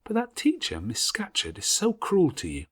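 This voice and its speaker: A male narrator plays an impulsive young schoolgirl in an audiobook. The voice is lifted well above a man's natural register and swings freely, with a slightly husky edge. The manner is eager, emphatic and quick to indignation. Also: a little high, huge pitch swings, a bit bright, pitched above the performer's own voice, heavily acted. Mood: indignant. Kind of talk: audiobook character voice.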